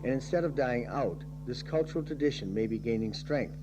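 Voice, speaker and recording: A man's voice: mid-range voice, a little fast, over music, poor audio. Irish man narrating a documentary, earnest. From Ireland. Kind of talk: reflective scripted narration.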